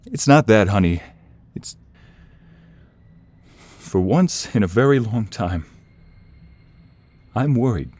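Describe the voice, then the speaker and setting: A man playing a protective, defiant mobster devoted to his lover, acted with earnest emotion and dramatic pauses in an audio roleplay. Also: fairly low pitch, animated, darkish tone. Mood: worried. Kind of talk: audio roleplay romance acting.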